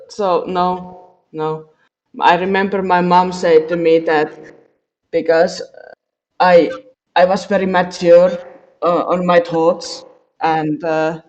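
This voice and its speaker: A man speaks slowly and haltingly, his voice sitting a little high. He hesitates and restarts often. The sound is dull and lo-fi, like an online call. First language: Finnish. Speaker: podcast co-host. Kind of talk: casual group chat.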